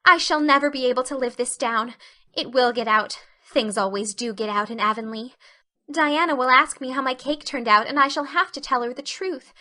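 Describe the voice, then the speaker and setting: A woman's voice: sits high, moderate pace, pushed and bright, pitched above the performer's own voice. A woman voicing an effusive, dramatic orphan girl in an audiobook reading. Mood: tearful despair. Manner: effusive, melodramatic.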